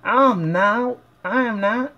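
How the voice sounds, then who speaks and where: A man's voice: high-pitched, slow, lo-fi sound, pitched above the performer's own voice. A man voicing a blunt, grumbling female cartoon farm pony who complains insistently.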